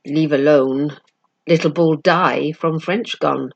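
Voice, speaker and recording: Woman voicing a slow, proud warrior chief. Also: low voice, steady tone, gravelly, highs cut off, pitched below the performer's own voice, heavily acted. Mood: bitter, gruff. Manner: clipped broken English, slow and emphatic.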